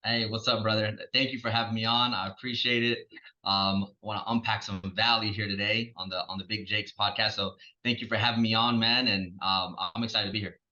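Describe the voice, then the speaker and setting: Texan male guest, laid-back and casual, low but bright-edged voice, dull lo-fi line. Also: fairly even intonation, brisk. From Texas, USA. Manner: laid-back, many fillers like um and man. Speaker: podcast guest, coach. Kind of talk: casual interview chat.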